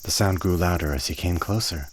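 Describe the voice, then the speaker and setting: A man narrating a radio play in a measured storytelling manner. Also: low-pitched, a little hiss or hum.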